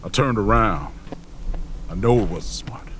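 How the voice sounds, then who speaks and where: A man's voice: fairly low pitch, measured pace, fairly bright tone, a little hiss or hum. A man acting in an audio drama as a weary, protective, middle-aged traveler, speaking in a grave, folksy storytelling way. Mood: haunted, grave. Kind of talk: audio drama acting and first-person narration.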